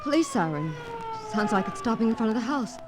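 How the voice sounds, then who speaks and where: A woman's voice: big pitch swings, brisk. Distraught, jealous woman, wildly swooping voice. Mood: anxious. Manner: highly emotional, pleading. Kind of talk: 1940s radio melodrama acting. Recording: 1940s radio transcription disc.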